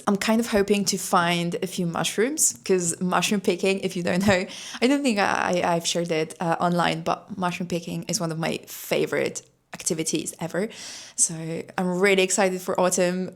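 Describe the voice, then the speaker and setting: A young woman who is a native Polish speaker, chatting casually and quickly in a vlog, with frequent light laughs. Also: lowish voice, gravelly. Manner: fast-talking, laughs often, upbeat. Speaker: language-learning vlogger.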